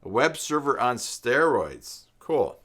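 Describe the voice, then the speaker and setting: A man's voice: medium pitch, big pitch swings, takes it slowly, fairly bright tone, husky. A middle-aged man hosting a casual live stream, rambling and thinking aloud as he reads and chats with viewers. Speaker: live stream host, self-employed web tutor.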